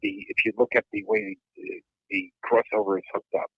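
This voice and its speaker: A male caller phoning into a podcast, halting and searching for words as he explains. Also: lowish voice, very animated, creaky, slightly rough, dry recording. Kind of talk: phone call-in chat. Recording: muffled phone line.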